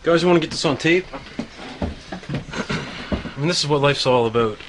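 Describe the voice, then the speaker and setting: A short-fused trailer park man who curses in every sentence, played by a man. His voice sits a touch high. Steady outdoor noise runs underneath. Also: normal speed, lightly acted. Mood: drunk sentimental. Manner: hot-tempered, yells, swears constantly. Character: hot-headed foul-mouthed trailer park man. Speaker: trailer park resident in a mockumentary. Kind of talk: mockumentary acting.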